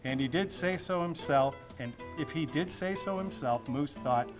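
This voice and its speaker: A man's voice: fairly high pitch, normal speed. A man reading a children's storybook aloud in a playful, theatrical way. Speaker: storybook reader. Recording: music playing behind the voice.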